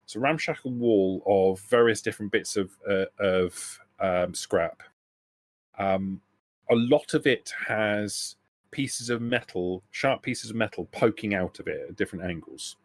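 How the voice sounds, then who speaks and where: Male game master, lowish voice, dry sound, feels his way through scene descriptions with frequent self-corrections. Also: measured pace, no echo. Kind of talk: improvised tabletop game narration.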